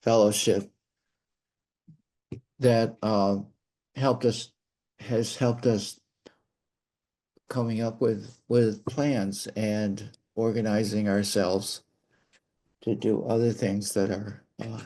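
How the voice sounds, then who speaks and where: A man answering interview questions conversationally, haltingly, with many pauses as he searches for words. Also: on the low side, little pitch movement, mellow.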